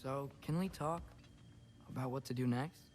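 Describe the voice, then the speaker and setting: A man's voice: medium pitch, normal speed, slight background noise, close to an everyday voice. A man playing an earnest, restless, hopeful teenage boy in a video game, eager yet tentative. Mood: tentative, caring. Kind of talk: dramatic video game acting.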